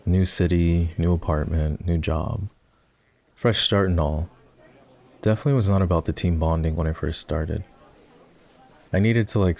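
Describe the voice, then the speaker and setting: Low, creaky-voiced boyfriend character acted by a man. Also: a little mellow. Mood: reflective. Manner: intimate, halting, conversational. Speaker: voice actor. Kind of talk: boyfriend roleplay ASMR acting.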